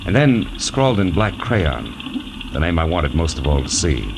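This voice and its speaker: An actor playing a wisecracking, world-weary private detective in 1940s radio noir style, wry and clipped. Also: male voice, deep voice, big pitch swings, moderate pace, vocal fry, noisy background, heavily acted. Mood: wry narration. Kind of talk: 1940s radio noir acting and first-person narration. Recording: vintage 1940s radio recording, music behind.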